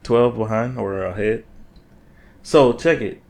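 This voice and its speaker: A man's voice: medium pitch, slow pace, creaky, a little mellow. A man co-hosting a podcast, talking in a loose, casual, conversational way. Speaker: podcast co-host. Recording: noticeable room noise.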